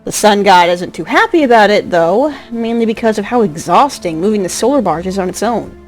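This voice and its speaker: A woman narrating a scripted drama as a storyteller, expressive, with a wry touch. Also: mid-range voice, brisk. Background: music.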